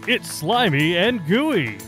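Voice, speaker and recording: A man's voice: sits high, very animated, slow pace, a bit bright, faint music behind, full character voice. A man playing a salesy announcer in a parody toy commercial, leaning hard on his words. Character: peppy male announcer of an absurd toy commercial.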